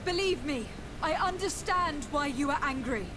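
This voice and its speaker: An assured noblewoman with a high voice and formal, persuasive delivery. Clear ambient noise sits behind her. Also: animated, moderate pace. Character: calm, persuasive young noblewoman addressing an angry mob. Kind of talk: video game dialogue.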